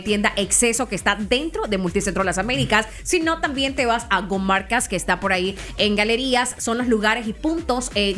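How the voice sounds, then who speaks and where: A woman with a Nicaraguan accent, a concert promoter on a radio show, pitching a ticket deal in a brisk, salesy way. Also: a bit bright. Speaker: promoter guest.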